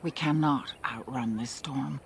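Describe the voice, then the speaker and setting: A man's voice: mid-range voice, unhurried, gravelly, a little hiss or hum. An actor, a man by voice, playing a solemn, caring elven clan leader in a fantasy game, with earnest, formal speech. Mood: solemn, urgent. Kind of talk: video game cutscene acting.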